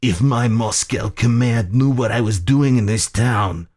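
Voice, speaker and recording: Man acting an oily, gloating general, slow and menacing. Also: medium pitch, darkish tone, close to an everyday voice. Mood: boastful. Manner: sultry, theatrical, deliberate.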